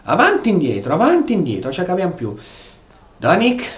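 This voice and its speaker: A man's voice: sits high, racing. A man giving casual, exclamatory role-play commentary on a video game, in a roomy recording with game sound behind. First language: Italian. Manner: exclamatory, animated. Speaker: gameplay streamer. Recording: roomy, slightly dull mic, game noise behind. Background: video game audio.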